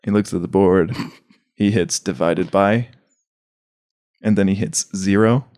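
A man chatting casually as a podcast host, rambling through comedy sketch pitches and acting out bits as he explains. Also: unhurried, a little mellow. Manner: rambling, acts out lines as he explains.

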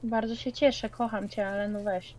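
A woman's voice: mid-range voice, steady tone, moderate pace, darkish tone, slight background noise, rough recording. A woman chatting casually while gaming, slangy, dropping in English words and swearing. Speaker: co-player in a multiplayer game.

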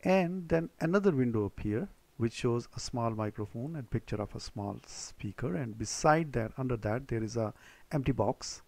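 A man's voice: mid-range voice, animated, moderate pace, a little mellow, no echo. Man giving step-by-step tutorial, dry sound. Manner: step-by-step, repeating instructions. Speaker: tutorial presenter. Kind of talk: spoken how-to computer tutorial.

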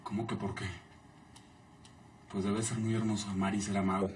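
An actor playing a young doctor in a telenovela, teasing an older colleague. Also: male voice, fairly low pitch, little pitch movement, moderate pace, darkish tone, slight background noise, natural voice. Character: young male doctor, worried and earnest. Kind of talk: 1990s telenovela acting.

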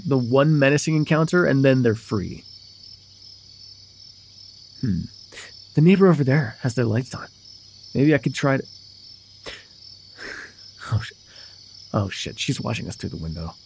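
A young man voicing a casual, mischievous vampire chatting informally and haltingly in an immersive roleplay. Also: a little high, slightly rough. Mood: musing, then nervous, hurried. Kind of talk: immersive ASMR roleplay voice acting.

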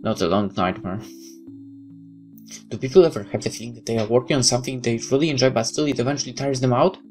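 A man's voice: mid-range voice, fast talker, darkish tone. A man hosting a gaming video, reading game text aloud and adding casual comments. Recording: faint game music behind the voice.

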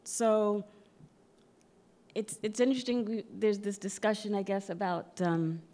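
A middle-aged woman, an actress, speaking off the cuff on a panel with expressive emphasis that moves between loud and hushed. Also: medium pitch, a little slow. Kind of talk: unscripted panel discussion. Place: large theatre stage before an audience. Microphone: microphone on a stand, close.

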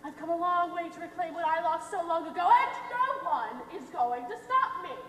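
A woman's voice: extremely high pitch, moderate pace, a bit bright. A young American woman playing a pompous, gushing middle-aged mother in a stage melodrama, declaiming grandly. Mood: melodramatic, doting. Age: young adult. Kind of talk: projected stage acting. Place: theater stage, distant camera. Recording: echoey noisy hall from far off.

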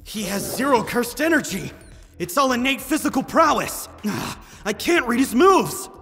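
A man acting a cocky young male sorcerer in an amateur manga dub, emphatic and taunting, quick to size up his foe. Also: very high-pitched, sing-song, creaky, sharp tone, big-room sound, soft background music, full character voice. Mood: focused.

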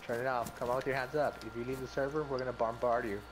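A man chatting casually as he plays a video game, excitable and foul-mouthed, repeating himself often. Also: mid-range voice, quick pace, very noisy. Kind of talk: casual gaming chat with friends.

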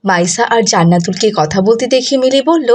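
A woman's voice: a little high, animated, normal speed, darkish tone. A woman giving scripted narration for a children's moral story.

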